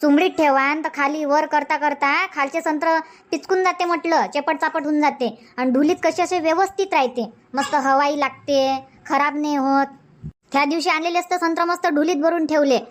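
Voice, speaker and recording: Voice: high-pitched, steady tone, moderate pace, lightly acted. An actor voicing a chatty, stubborn village housewife in a comedy cartoon, nagging insistently in a rural Vidarbha Marathi accent. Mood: stubborn, explaining.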